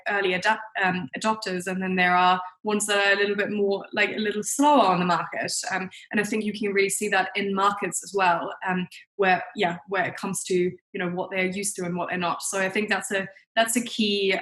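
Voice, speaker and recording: A woman's voice: mid-range voice, level tone, normal speed, fairly bright tone. A young adult woman who grew up in New Zealand and Germany, giving chatty, conversational interview answers peppered with "like" and "you know". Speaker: guest, marketing consultant.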